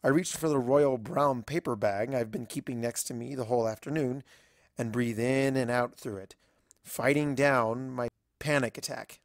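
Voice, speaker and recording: A woman's voice: very deep voice, normal speed, no room sound. Woman as anxious, wry cartoon pony princess, close-miked. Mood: flustered, overwhelmed. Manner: wry, fretful, dramatic first-person storytelling. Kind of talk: fan fiction audio reading in character.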